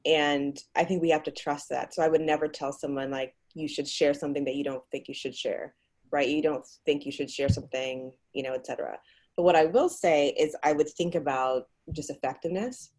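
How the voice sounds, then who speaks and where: A woman speaking as a guest on a video call, explaining her advice in a measured, thoughtful way. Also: deep voice, darkish tone.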